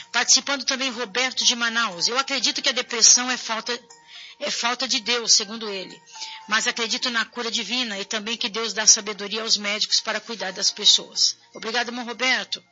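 Hoarse, bright-voiced Brazilian woman host. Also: fairly high pitch, lo-fi sound. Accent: Brazilian Portuguese. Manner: chatty, addresses viewers directly. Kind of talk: casual talk show, reading viewer messages.

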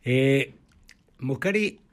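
A man, an interviewer asking measured, reflective questions. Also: medium pitch, animated.

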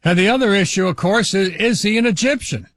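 An older man hosting a talk radio show, giving opinionated commentary in a halting way with restarts. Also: sits high, a bit bright, no echo, studio quality. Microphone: studio microphone.

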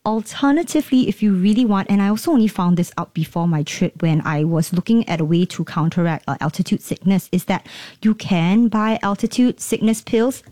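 A woman's voice: mid-range voice, animated, a little fast, warm tone, no room sound. A woman, a travel consultant guest explaining things on radio with many ums and you-knows. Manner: friendly, many fillers like um and you know. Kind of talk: radio interview.

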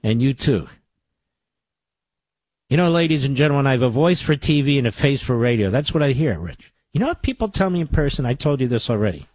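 Emphatic husky-voiced male radio host. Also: mid-range voice, very animated, a little fast, a little mellow, no echo. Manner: emphatic, repeats phrases for stress. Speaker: radio talk show host. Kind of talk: talk radio call-in.

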